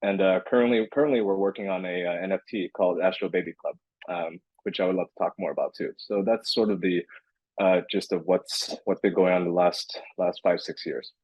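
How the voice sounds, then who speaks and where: A man's voice: deep voice, steady tone, fairly quick, husky, no echo, lo-fi sound. A man in his thirties, a podcast guest, answering casually with many restarts and fillers. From born in California, raised in Beijing. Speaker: podcast guest, crypto entrepreneur.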